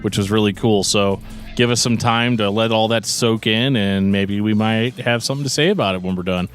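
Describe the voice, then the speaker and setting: A man's voice: on the low side, brisk, clear, resonant tone, faint music behind. A man co-hosting a podcast in casual chat, explaining things in a joking, rambling way. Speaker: podcast co-host.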